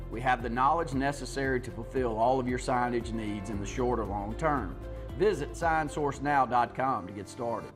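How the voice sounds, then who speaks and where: A man reading a scripted radio ad for a local business in a brisk, informative manner. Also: mid-range voice. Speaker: ad announcer. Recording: music bed underneath.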